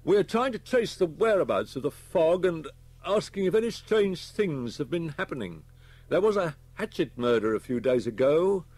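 British chief inspector as a male narrator plays him, brisk, official, raised pitch, husky, audiobook. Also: a little mellow, no room sound. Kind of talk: audiobook character voice.

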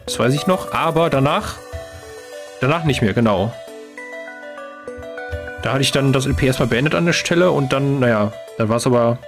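A man giving casual commentary as the host of a let's play. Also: medium pitch.

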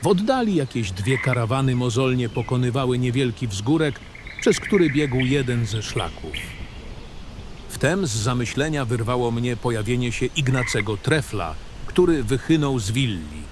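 A man narrating a fantasy audio drama in an expressive, literary storytelling style. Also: medium pitch, normal speed, noisy background. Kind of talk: scripted first-person literary narration.

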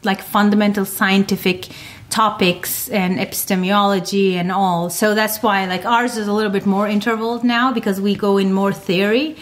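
A woman's voice: mid-range voice, steady tone. A woman from Turkey, a science podcaster answering interview questions with many fillers. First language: Turkish. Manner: many fillers, talks in quick bursts.

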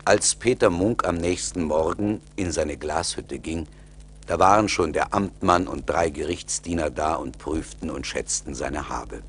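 Male storyteller for a radio play, low register, scripted narration, slight vinyl noise. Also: measured pace. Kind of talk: scripted fairy-tale narration. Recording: slightly noisy 1980s vinyl record.

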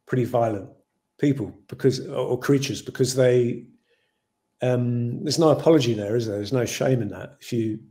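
English-accented man in his forties, mellow dark voice, thoughtful and rambling, over a video call. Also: mid-range voice, moderate pace. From Ireland. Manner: thoughtful, rambling with many "you know" fillers. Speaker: film director. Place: home room with shelves.

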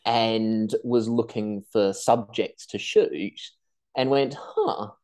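A young man from New Zealand, raised in Tasmania, giving articulate, measured answers as a podcast guest over a video call. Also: fairly low pitch, a little mellow. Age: young adult. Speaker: guest, seabird researcher. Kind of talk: conversational interview answers. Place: room at home, video call.

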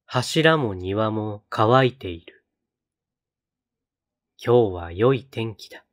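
Man, fairly deep voice, solemn poetry reading, deliberate pauses, unhurried slow delivery. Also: animated. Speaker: poetry reader on a read-aloud channel.

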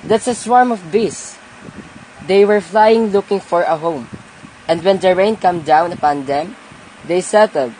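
An amateur actor playing a kindly, pious old peasant uncle in a radio play, folksy and gently chiding. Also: mid-range voice, a little slow, close to an everyday voice. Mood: instructive. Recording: noisy amateur home recording.

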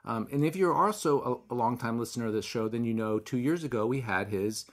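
A man with a hoarse, raspy voice hosting a podcast interview in a wry, rambling way. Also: medium pitch, brisk. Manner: rambling, self-deprecating, wry. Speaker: podcast host. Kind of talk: casual conversational interview.

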